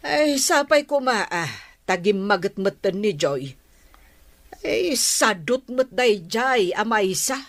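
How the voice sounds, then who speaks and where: A woman's voice: medium pitch, animated, slow, a bit bright, no echo. A woman acting a caring middle-aged mother in an Ilocano radio drama, with an Ilocano accent. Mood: concerned.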